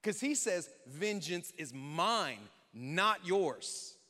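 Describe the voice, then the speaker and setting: A man's voice: high-pitched, lively intonation, very slow, pushed and bright. A man preaching a contemporary, conversational sermon as a pastor, speaking emphatically and informally with rhetorical questions and repetition. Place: large church hall, speaking to an audience. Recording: echoey hall with long reverb.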